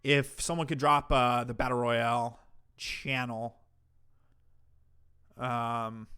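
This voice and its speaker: A man's voice: medium pitch, level tone, slow pace, sharp tone, smooth. A man hosting a livestream, reacting to a clip with mocking, profane commentary. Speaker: commentary streamer host.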